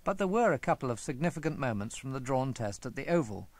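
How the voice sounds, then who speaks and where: A man reading a scripted historical narration about sport in a measured documentary style. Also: medium pitch, big pitch swings, no echo.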